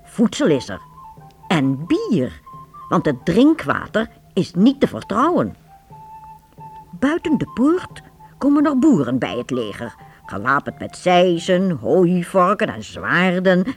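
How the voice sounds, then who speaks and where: A woman narrating a Dutch radio play in a measured, dramatic storytelling manner. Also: lowish voice, huge pitch swings, soft background music, full character voice. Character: storybook narrator of a medieval adventure.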